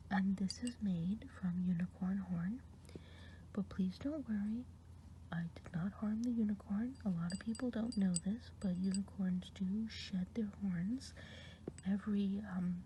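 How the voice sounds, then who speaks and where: A woman playing a kindly witch who tests a patient's eyes, whispering softly and asking patient questions in an ASMR roleplay. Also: medium pitch, slight background noise, lightly acted. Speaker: ASMR performer.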